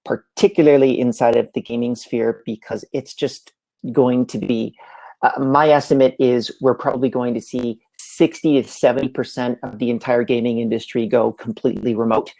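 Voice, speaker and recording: Gravelly Canadian man giving a talk. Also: medium pitch, normal speed, low-quality recording. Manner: energetic, conversational, frequent "uh" fillers. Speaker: conference presenter. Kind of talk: live online presentation.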